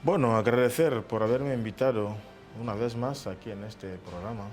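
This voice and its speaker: A man from Equatorial Guinea speaking as a guest on a studio panel, giving measured advice and quoting proverbs. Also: medium pitch, soft background music.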